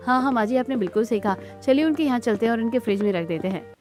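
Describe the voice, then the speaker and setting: A woman acts out a pert young daughter-in-law in an Indian family cartoon. Her delivery is animated and questioning, over faint background music. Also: a little high, very fast, natural voice. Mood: agreeable. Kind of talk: Hindi family cartoon dialogue.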